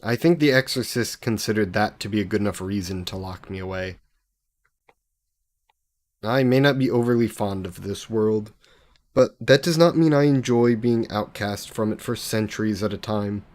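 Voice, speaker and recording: A man's voice: fairly low pitch, normal speed, a little mellow, dry recording, natural voice. A man playing a sassy, possessive, centuries-old demon in an audio roleplay, speaking haughtily and sardonically in formal wording. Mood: indignant. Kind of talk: scripted audio roleplay addressed to the listener.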